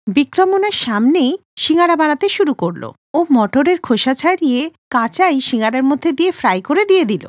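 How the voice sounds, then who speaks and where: A woman narrating a children's cartoon story in a steady storytelling manner. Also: sits high, fast talker, dry recording, clean studio sound.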